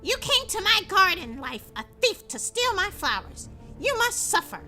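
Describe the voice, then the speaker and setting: A woman voicing a wicked, commanding witch in a children's fairy tale, shrill and scolding. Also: big pitch swings, normal speed, pushed and bright, faint music behind, heavily acted. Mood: outraged. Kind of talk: children's storybook character voice.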